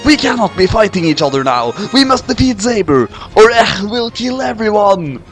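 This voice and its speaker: A man's voice: high voice, big pitch swings, normal speed, voice pushed up. A man voicing a hot-headed young heroic mech pilot, declaiming in an overwrought way in a story reading. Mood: urgent. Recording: music playing behind the voice.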